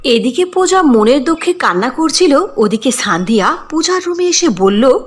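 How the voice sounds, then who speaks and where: A woman's voice: high voice, animated, normal speed, husky, soft background music. A woman giving scripted fairy-tale narration for a cartoon story.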